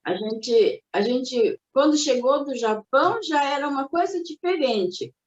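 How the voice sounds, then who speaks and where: A woman's voice: high voice, animated, measured pace. Reflective older Japanese-born woman, roomy sound. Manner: reflective, hesitant, with many restarts and fillers. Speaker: interviewee. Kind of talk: recalling her family's immigration. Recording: roomy, dull recording.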